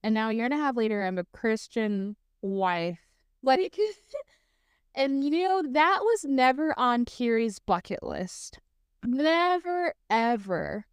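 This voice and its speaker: Chatty woman podcaster, smooth voice. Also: a little high, animated, slow, no echo, clean studio sound. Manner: chatty, fills speech with "like" and "um"; laughs easily while talking. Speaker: podcast host. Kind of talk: casual solo storytelling.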